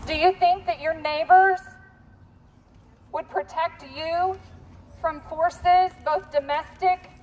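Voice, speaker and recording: An American woman activist giving a deliberate protest speech through a bullhorn, posing pointed questions to an official. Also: very high-pitched, fairly even intonation, highs cut off. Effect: bullhorn amplification. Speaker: protest activist speaking to the governor. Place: outdoors on a residential street. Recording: noisy outdoor street recording.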